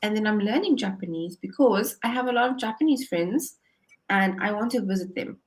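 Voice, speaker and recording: A woman's voice: medium pitch, lively intonation, a little fast. A woman tutoring English over a video call, upbeat and encouraging, often laughing as she explains. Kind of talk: casual conversation lesson.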